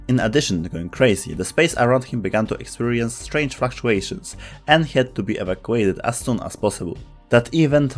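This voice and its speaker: A man reading scripted explainer narration as the narrator of a video. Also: lowish voice. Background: background music.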